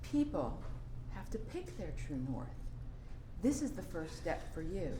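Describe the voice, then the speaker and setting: A woman's voice: lowish voice, very animated, a little slow. Staged play, roomy sound with some noise: actress as practical, fretful, insistent mother pushing her son, scolding. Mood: chiding. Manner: insistent, chiding. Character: anxious, nagging, practical mother of a college-bound son. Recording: roomy live recording with background noise.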